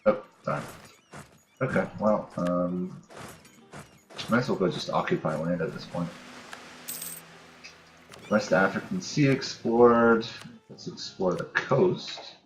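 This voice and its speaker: A man's voice: low-pitched, takes it slowly, pauses often, a little hiss or hum. A man giving casual commentary on a strategy game, thinking aloud and muttering to himself in short fragments. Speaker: gamer, host of a let's play series.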